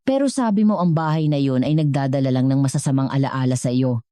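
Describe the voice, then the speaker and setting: A woman's voice: a bass voice, fast talker, mellow, dry recording, clean studio sound. A Filipino-accented woman voicing an incredulous, scolding male business partner, exasperated and disbelieving in storybook dialogue.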